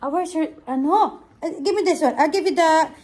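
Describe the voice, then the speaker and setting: A woman's voice: very high-pitched, fairly quick, darkish tone. A woman chatting casually to camera as a family vlogger, filming on a phone. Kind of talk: casual family vlog chat. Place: at home, in a moving car and outside. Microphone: phone at arm's length.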